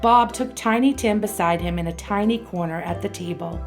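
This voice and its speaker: A middle-aged woman narrating a storybook radio play, reading long lists in a steady, clear voice. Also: medium pitch, normal speed, faint music behind.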